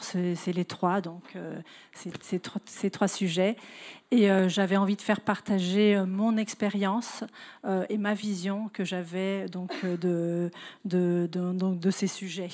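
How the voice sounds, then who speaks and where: Woman presenting, halting and hesitant. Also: fairly low pitch, dark tone. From Italian-German, grew up in several countries. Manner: hesitant, halting delivery with frequent self-corrections. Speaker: guest speaker, retail consultant. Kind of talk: conference presentation.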